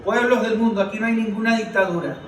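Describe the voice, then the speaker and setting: A man's voice: high voice, fairly even intonation, fast, a bit bright, reverberant, distant mic, slight background noise. A man giving impassioned political commentary as a podcast panelist, emphatic and declamatory, repeating phrases for force.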